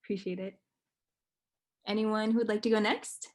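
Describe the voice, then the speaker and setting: A woman's voice: mid-range voice, steady tone, normal speed. A woman leading a casual group check-in over a video call, friendly and hesitant, with frequent ums. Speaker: workshop facilitator.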